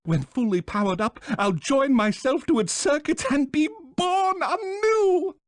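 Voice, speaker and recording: A man's voice: very high voice, lively intonation, measured pace, no room sound, studio quality. A man playing a pompous, condescending, scheming small bird villain with a British accent in a video game, speaking theatrically. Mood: gloating.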